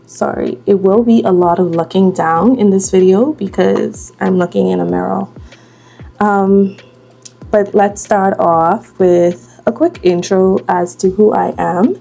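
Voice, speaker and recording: A woman's voice: medium pitch, vocal fry. A Bahamian woman in her late twenties chatting casually as she does her makeup for a vlog. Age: 20s. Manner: slow, relaxed, chatty while doing makeup. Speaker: vlogger. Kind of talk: casual get-ready-with-me chat.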